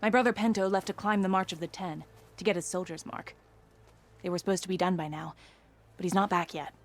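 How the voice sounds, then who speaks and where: A woman's voice: lowish voice, steady tone, brisk, lightly acted. An actress playing a proud young female soldier in a video game, blunt and guarded in manner. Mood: defiant. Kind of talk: video game character acting.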